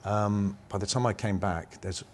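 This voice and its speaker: A man's voice: lowish voice, fairly even intonation, moderate pace, slight background noise. A man in his fifties with an English accent, speaking conversationally as a designer being interviewed, rambling and often interrupting himself. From England. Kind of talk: conversational interview, personal anecdotes.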